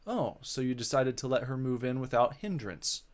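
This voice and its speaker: A man voicing a blunt, sceptical woman interviewer in a visual novel, with measured delivery. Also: mid-range voice, lively intonation, close to an everyday voice. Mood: probing. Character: dry, probing woman interviewer questioning a ghost.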